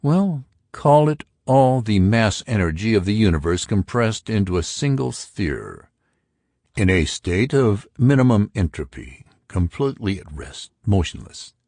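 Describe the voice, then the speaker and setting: A man's voice: fairly low pitch, very animated, a little slow, a little mellow, no echo, clean studio sound, voice pushed up, heavily acted. A man voicing an argumentative intellectual who expounds theories to friends in an audiobook. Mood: eager, pedantic. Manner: emphatic, pedantic. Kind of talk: audiobook character dialogue.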